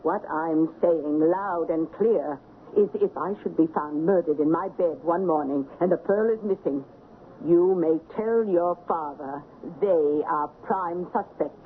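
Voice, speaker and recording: A woman's voice: deep voice, unhurried. An actress playing a fearless, haughty woman in her eighties, blunt and lecturing, in an old radio drama with a tinny, noisy sound. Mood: knowing, grave. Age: 80s. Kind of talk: 1970s radio drama acting.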